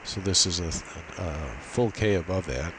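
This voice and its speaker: A man's voice: sits low, measured pace. A man giving an unscripted, methodical technical walkthrough, explaining a setup step by step. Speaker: amateur radio demonstrator. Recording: steady hiss and noise behind voice.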